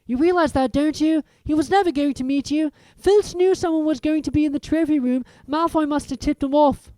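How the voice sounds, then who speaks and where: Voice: high-pitched, a little fast, no room sound, voice pushed up, natural voice. Hermione, a reader doing a bossy schoolgirl. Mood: insistent. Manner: sharp, insistent.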